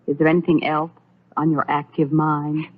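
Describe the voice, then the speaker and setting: An actress playing a kindly, composed bride-to-be in an old radio soap opera, gently indulgent. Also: female voice, sits low, little pitch movement, moderate pace, breathy edge. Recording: tinny, narrow old radio recording.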